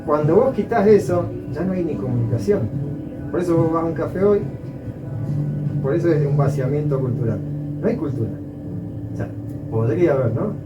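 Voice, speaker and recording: Argentine man, reflective coffee talk, distant mic, loud music behind. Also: a little high, expressive, moderate pace, pauses often. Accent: Argentine Spanish. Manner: reflective, rambling, restarts often. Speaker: coffee educator. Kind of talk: casual conversation. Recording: echoey room, far from mic, dull sound, loud music.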